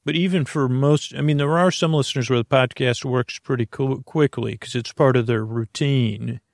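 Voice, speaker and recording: Creaky, meandering man, bedtime podcast host. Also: medium pitch, fairly even intonation, no room sound, clean studio sound. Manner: meandering, halting, soothing, full of tangents. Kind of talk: slow rambling bedtime monologue.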